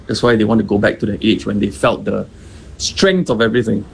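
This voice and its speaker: A man's voice: on the low side, steady tone, fairly quick, gravelly, a little hiss or hum. A man hosting a morning radio show, joking casually and listing points offhand. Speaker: radio host.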